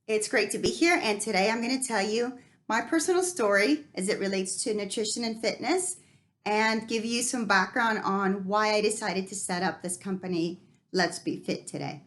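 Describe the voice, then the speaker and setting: A woman's voice: medium pitch, moderate pace, a bit bright. A woman from Guatemala, a fitness company founder, telling her personal story in an earnest, reflective way with frequent ums and restarts. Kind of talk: personal story told to camera.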